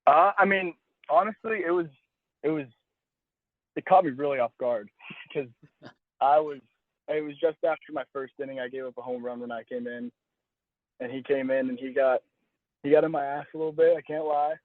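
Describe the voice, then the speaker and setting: A man's voice: fairly high pitch, normal speed, long pauses, no room sound. A young man, a college athlete being interviewed, speaking casually over a phone call and often restating his phrases as he goes. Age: young adult. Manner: hedging, self-correcting, restating phrases. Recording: dull, lo-fi phone call audio.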